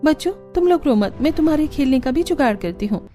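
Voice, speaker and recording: A woman's voice: high voice, lively intonation, brisk, dark tone, faint music behind, voice pushed up. A woman voicing a resourceful, cheerful young village daughter-in-law in an earnest cartoon storybook style. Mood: soothing.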